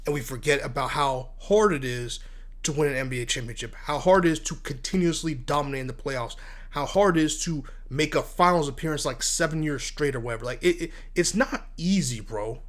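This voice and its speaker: Stuffy-voiced male sports talker, slightly high, blunt, animated. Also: normal speed. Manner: blunt, animated, repeats words when worked up. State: congested from asthma and allergies. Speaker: sports commentary host. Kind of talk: casual solo sports talk.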